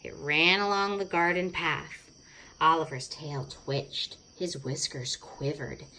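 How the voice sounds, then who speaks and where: A woman's voice: low voice, takes it slowly, pushed and bright, slight background noise, poor audio. A woman narrating a children's storybook expressively, stretching words for dramatic effect. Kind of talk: expressive children's read-aloud.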